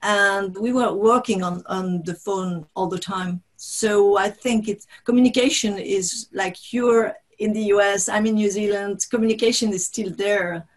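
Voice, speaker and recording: A woman whose first language is French, speaking English as an author guest in an interview over a video call, halting and restarting often. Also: medium pitch, steady tone. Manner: halting, restarts often, laughs between thoughts. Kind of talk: conversational interview.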